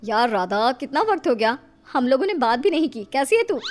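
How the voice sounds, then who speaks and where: A woman's voice: sits high, very animated, fairly quick, faint music behind. A woman voicing a status-conscious female friend with a breezy, familiar, chatty manner.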